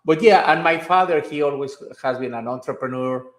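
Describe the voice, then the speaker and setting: A man's voice: fairly high pitch, fairly quick. A man from Mexico, a guest answering interview questions in a friendly, enthusiastic way. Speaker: guest, aerospace CEO. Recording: echoey room.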